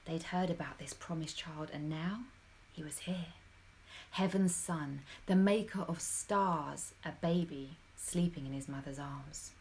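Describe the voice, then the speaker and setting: Female storyteller, lowish voice, animated and dramatic children's reading, speaks straight to the young audience. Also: a little slow. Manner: animated, dramatic, addressing young listeners directly. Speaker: storybook reader.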